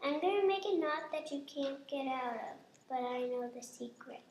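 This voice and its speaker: A woman's voice: sits high, animated, moderate pace, a little mellow. A woman playing a hesitant, anxious young child in a radio drama. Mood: hesitant, sweet. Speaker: actor in an amateur radio play. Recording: roomy, noisy recording.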